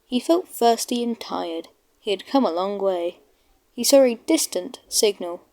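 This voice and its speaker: A woman's voice: medium pitch, animated, a little slow, darkish tone. A woman narrating a children's storybook from a script.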